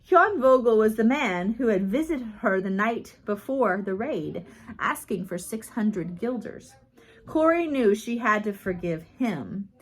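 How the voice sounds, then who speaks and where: A woman reading a biography aloud as scripted narration, in measured phrases like an audiobook reader. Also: medium pitch, lively intonation, poor audio.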